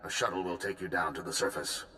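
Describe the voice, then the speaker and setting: A man's voice: sits low, steady tone, fast talker, bright, forceful tone, a little hiss or hum. An actor playing a male supreme commander giving a formal, urgent mission briefing in a video game cutscene. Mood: earnest. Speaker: military commander.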